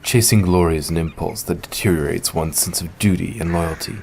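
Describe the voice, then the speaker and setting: A man playing a solemn, reserved young ninja and elder brother in an audio drama, speaking in a measured, formal, brooding way. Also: low-pitched, steady tone, gravelly, darkish tone, a little hiss or hum. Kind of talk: fan audio drama acting.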